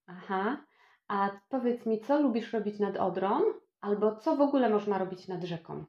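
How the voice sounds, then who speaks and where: A woman with a mid-pitched voice is interviewing children in a casual way. The sound is roomy and a little dull. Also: animated, moderate pace, darkish tone.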